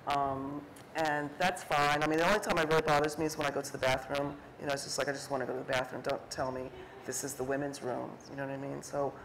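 A woman's voice: a bass voice, flat delivery, quick pace, hardly any pauses, slight background noise, low-quality recording. A middle-aged woman, an artist on a panel, talking casually about her photography with many fillers and restarts, acting out quoted remarks as she goes. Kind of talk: conversational talk on stage. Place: stage at an art fair talk, indoors before an audience.

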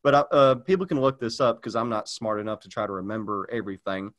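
A man's voice: fairly low pitch, fast talker, fairly bright tone. A man chatting casually on a podcast, rambling with lots of fillers and restarts. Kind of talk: casual chat.

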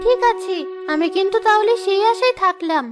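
A woman's voice: extremely high pitch, a little fast, over music. A woman voicing a young village girl in a cartoon, childlike, outspoken and insistent. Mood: pleading. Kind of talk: cartoon voice acting.

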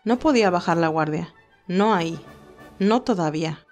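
A woman's voice: on the low side, animated, moderate pace, a little mellow. Woman narrating romance over music. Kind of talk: scripted romance story narration.

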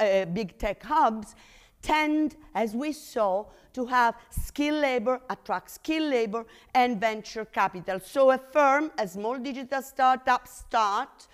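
Higher-pitched middle-aged woman lecturing, non-native English. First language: not English. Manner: emphatic, explanatory, checks in with "okay?" and "right?". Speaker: academic keynote lecturer. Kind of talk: conference lecture with slides. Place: university lecture room.

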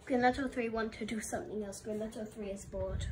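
A woman's voice: on the high side, a little fast, far from the mic, slight background noise, natural voice. A woman voicing a boastful alien who speaks of itself in the third person, with a Russian accent. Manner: refers to self in third person, proud and deadpan. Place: bedroom with a computer.

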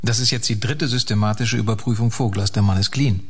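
A man's voice: fairly low pitch, fairly even intonation, brisk, slightly rough. An actor playing a confident fixer reporting to his boss in a radio play, assured and matter-of-fact. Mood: dry, frank. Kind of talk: German radio play acting.

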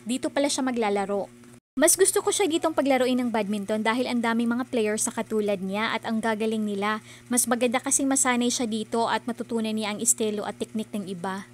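A woman's voice: fairly high pitch, brisk, slight background noise. A middle-aged woman, narrating her own vlog in a chatty, earnest, encouraging voice-over. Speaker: vlogger.

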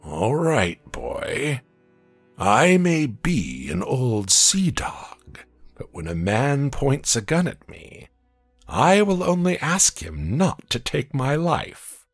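A man voicing a wily old sea-dog pirate in a storybook, breathy and rasping, with a wheedling drawl. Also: mid-range voice, big pitch swings, takes it slowly, no echo, soft background music, voice pushed up, exaggerated voice. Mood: wheedling surrender.

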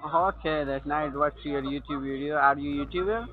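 A man's voice: on the high side, moderate pace. A man calling as a sales caller, insistent and repeating his script. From India. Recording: tinny phone line with music.

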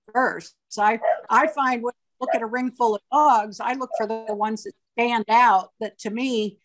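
Slightly high-voiced woman on a video call. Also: steady tone, moderate pace, faint music behind, rough recording. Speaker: breeder panelist. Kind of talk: video call panel discussion.

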